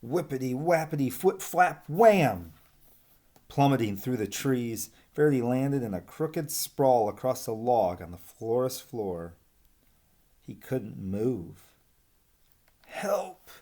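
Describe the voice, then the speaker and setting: A man reads a children's story aloud in an expressive storyteller's style. His voice sits at an average pitch and moves up and down with lots of animation. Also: slow.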